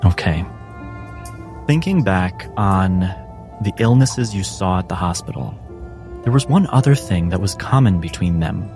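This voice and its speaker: A man with a lowish voice leads a tabletop game as its game master. He speaks very slowly and deliberately, pausing for drama, over soft background music. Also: expressive. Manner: measured, deliberate phrasing with dramatic pauses.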